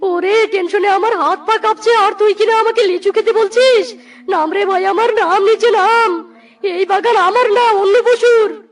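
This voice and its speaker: A woman voicing an excitable, bossy little animal in a children's cartoon, exclamatory and dramatic, with music behind. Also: very high voice, a little slow. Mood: panicked, pleading.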